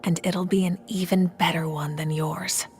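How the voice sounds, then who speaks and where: A woman voicing a determined, quietly confident young rival in an anime-style video game, restrained and dry. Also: fairly low pitch, steady tone, moderate pace, over music, lightly acted. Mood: quiet defiance.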